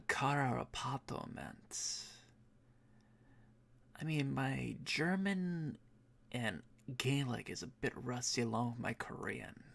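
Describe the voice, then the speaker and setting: A man streaming as he edits a comic, muttering quietly to himself and answering chat in a casual way. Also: medium pitch, vocal fry.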